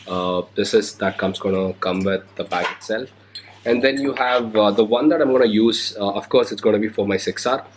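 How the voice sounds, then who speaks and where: A man's voice: on the low side, normal speed, a little hiss or hum. A young man with an Indian English accent, recovering from a cold, talking casually through an unboxing vlog with lots of hesitant fillers. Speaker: motovlogger. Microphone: handheld recorder or camera mic.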